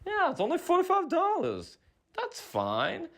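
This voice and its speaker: A man playing a laid-back hippie vendor in a comedy monologue, rambling through a drawling sales pitch. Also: wildly swooping pitch, measured pace, noisy background, exaggerated voice. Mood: breezy, selling.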